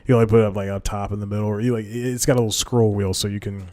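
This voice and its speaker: A man's voice: on the low side, flat delivery, racing, dark tone. A young man hosting a casual solo podcast, rambling with many fillers and self-corrections into a desk microphone. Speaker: podcast host.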